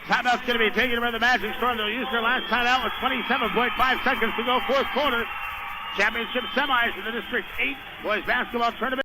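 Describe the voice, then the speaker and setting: A man's voice: very high-pitched, fairly even intonation, quick pace, heavy background noise. A man doing live radio play-by-play of a basketball game in short, running descriptions of the action. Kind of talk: live radio sports commentary. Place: indoor high school basketball game.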